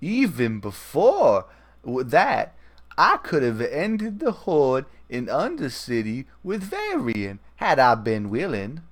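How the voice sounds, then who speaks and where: A man plays a bitter woman leader from a comic book. The speech is slow, halting and dramatic, heavy with regret. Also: mid-range voice, huge pitch swings, exaggerated voice. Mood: regretful, bitter. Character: regretful, bitter woman leader, grieving her fallen city.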